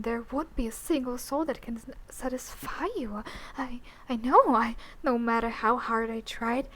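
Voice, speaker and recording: Slow, high-voiced obsessive yandere girl, acted by a woman. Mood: possessive, elated. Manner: breathy half-whispers, slow lingering phrases with sudden intense swells. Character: possessive, obsessive young woman yandere in love. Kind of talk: intimate roleplay audio acting, spoken to the listener.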